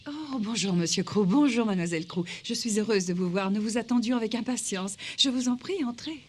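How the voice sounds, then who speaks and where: Casting: actress as courteous, effusive cartoon schoolteacher, fairly high voice, some background noise. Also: female voice, animated, fairly quick, a little mellow, lightly acted. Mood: courteous, welcoming. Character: polite, eager schoolmistress, the headmistress's sister. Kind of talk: cartoon dub acting.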